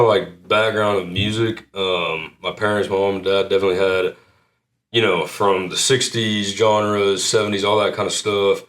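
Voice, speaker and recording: Laid-back, deep-voiced man. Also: a bit bright. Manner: laid-back, modest, lots of "you know" and "kinda". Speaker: country singer, interview guest. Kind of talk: relaxed interview answers.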